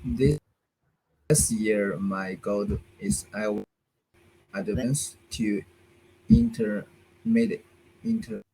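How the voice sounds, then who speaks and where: A man's voice: fairly low pitch, dark tone, a little hiss or hum, poor audio. Hesitant man, beginner English. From China. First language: Chinese. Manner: halting beginner English, hesitant, restarts and broken grammar. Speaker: English learner. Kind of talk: halting beginner conversation in a lesson. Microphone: video call.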